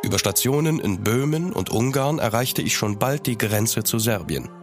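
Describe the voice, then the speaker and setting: An actor playing a gentleman traveller who narrates his journey in measured, literary audio drama style. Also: mid-range voice, expressive, over music, close to an everyday voice. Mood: grave, reflective. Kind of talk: scripted first-person audio drama narration.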